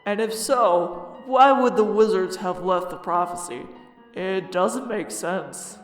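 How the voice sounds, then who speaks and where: A man voicing a puzzled pupil asking deliberate questions in a fan comic dub, in an echoey hall. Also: sits high. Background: music.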